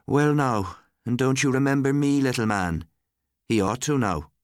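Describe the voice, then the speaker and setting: A man's voice: mid-range voice, normal speed, no room sound, clean studio sound, voice pushed up, close to an everyday voice. A man voicing a cheerful, condescending woman who fusses over a small child, in a storybook reading. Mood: cheerful, pitying.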